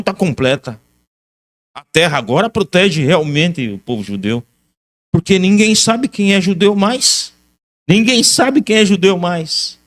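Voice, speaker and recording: High-pitched Brazilian man lecturing. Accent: Brazilian Portuguese. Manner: emphatic, repeats key phrases. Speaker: religious teacher and lecturer. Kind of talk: history lecture mixing reading aloud and commentary.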